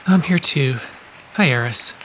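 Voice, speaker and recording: A man's voice: medium pitch, animated, a little slow, fairly bright tone, poor audio. Casting: kindly, sheepish regular guy, casual and boyish delivery, audio drama, light rain behind. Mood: friendly, puzzled. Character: easygoing, kindly, slightly sheepish man. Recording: faint rain noise behind the voice.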